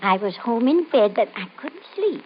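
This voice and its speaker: An actress in an old-time radio mystery playing a nervous, insistent, ominous woman with a halting, pleading, melodramatic delivery. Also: fairly high pitch, sing-song. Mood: anxious, defensive. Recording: muffled, hissy old radio recording.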